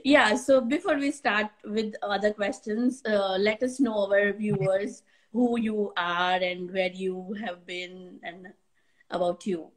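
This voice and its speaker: A woman's voice: medium pitch, steady tone, slow, low-quality recording. A woman from India hosting an interview, asking questions hesitantly with many fillers. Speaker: interviewer, host. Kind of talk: casual video call interview.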